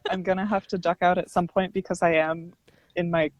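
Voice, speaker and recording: A woman's voice: lowish voice, little pitch movement, fairly quick, lo-fi sound, crowd noise. A woman panelist chatting casually, informal and self-deprecating, with a giggly way of talking. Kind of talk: casual chat on an online panel. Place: parked car, on a remote call.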